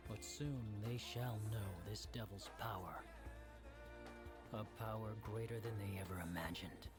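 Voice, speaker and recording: A man playing a cold, arrogant half-demon warrior in a video game cutscene, brooding, deliberate and menacing. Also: fairly low pitch, close to an everyday voice. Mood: menacing, proud. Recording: game audio under very loud music.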